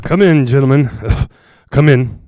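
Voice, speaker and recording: A man playing a grave, evasive doctor who speaks in a measured, apologetic way, in the style of a 1940s radio drama. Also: mid-range voice, dark tone, slight background noise, lightly acted. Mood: weary, apologetic. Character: grave male doctor and ghost hunter, composed, evasive.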